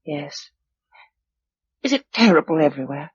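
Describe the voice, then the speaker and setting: Give a tender, capable young British sweetheart in a radio play. Her voice is breathy and animated, full of emotion with a wry edge. The old recording sounds dull. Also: low-pitched, normal speed, full character voice. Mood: tender, subdued. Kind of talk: British radio drama acting.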